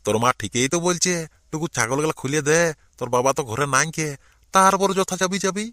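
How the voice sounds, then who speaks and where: A man's voice: a little high, lively intonation, brisk, pushed and bright. A man playing an old, placating village grandfather in an amateur cartoon, with a Purulia Bengali accent. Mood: gently persuading. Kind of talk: amateur cartoon comedy dialogue.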